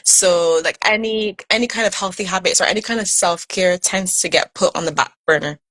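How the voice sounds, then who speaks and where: A woman's voice: on the low side, brisk, a bit bright, poor audio. A woman from Barbados with a Barbadian accent, a guest coach on a video call, explaining ideas earnestly with casual likes and restarts. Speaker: guest, burnout recovery coach. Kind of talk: casual conversation.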